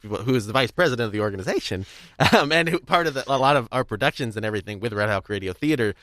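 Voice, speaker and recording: A man's voice: mid-range voice, animated, very fast, fairly bright tone, no room sound. A young man hosting a casual podcast chat, talking in a wordy, rambling, hedging way. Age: young adult. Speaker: podcast host. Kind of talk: casual chat about audio drama craft.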